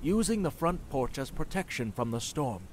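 A man's voice: fairly high pitch, very animated, normal speed, a little hiss or hum. A man playing a spooky narrator, telling a scripted horror tale with theatrical, ominous phrasing. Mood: ominous storytelling. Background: music.

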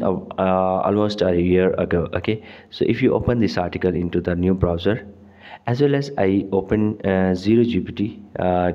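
Low gravelly man with a dull lo-fi sound. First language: Urdu. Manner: halting, many fillers and restarts. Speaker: tutorial host. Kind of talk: screen-recorded tutorial.

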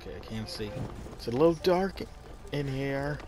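A man's voice: medium pitch, animated, moderate pace. A man casually commenting as he plays a video game, chatting and laughing with his viewers. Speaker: gamer playing a video game.

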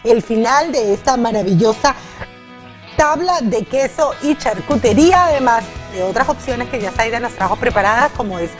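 A woman's voice: a little high, lively intonation, normal speed, soft background music. A woman, hosting a cooking segment on a TV studio kitchen set and speaking straight to viewers. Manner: upbeat, addresses viewers directly. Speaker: TV show host. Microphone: lapel mic.

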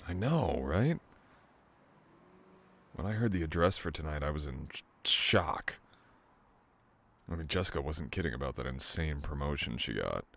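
Very deep gravelly-voiced flirty man.

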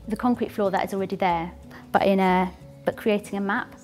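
A young woman design student talks through her proposal in a lower, flat voice, pausing often with ums. She is recorded in an ornate synagogue hall with faint music underneath. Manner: thoughtful, pauses with ums.

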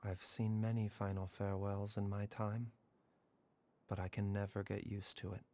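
A man voicing a calm, wise doctor. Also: on the low side, lightly acted. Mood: solemn. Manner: measured, composed.

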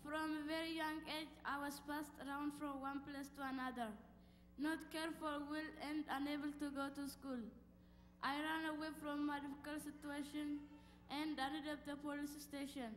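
A high, flat-toned girl from Ethiopia speaks evenly from memory. Her voice rings through a reverberant church over a faint hum. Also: moderate pace. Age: child. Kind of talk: reciting a prepared testimony.

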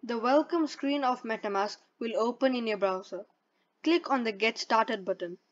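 A woman's voice: fairly high pitch, moderate pace. A woman presenting a step-by-step tutorial in a plain, instructional way, reading from a script. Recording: dull lo-fi voice-over.

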